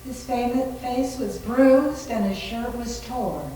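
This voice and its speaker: A woman's voice: a little high, takes it slowly, dark tone. A woman giving dramatic narration of a story in a live readers theater performance. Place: library room, live staged reading. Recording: very echoey room, far from mic, faint noise.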